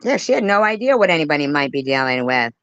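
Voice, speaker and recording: An off-the-cuff commentator reacts with open indignation. The pitch moves up and down a lot, and phrases get repeated for emphasis, with swearing mixed in. The recording is dry and somewhat dull. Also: very animated, fast talker, a bit bright, clear, resonant tone, dry recording. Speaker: commentator reacting to a played livestream clip. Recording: dull lo-fi sound.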